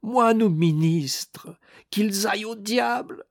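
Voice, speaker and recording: A man's voice: mid-range voice, fairly even intonation, slow pace, no echo, studio quality. A man voicing a proud, wounded officer on his deathbed, irritable and defiant, speaking with a strained, emphatic exasperation in a dramatic storybook reading. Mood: defiant anger. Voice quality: weak, strained voice.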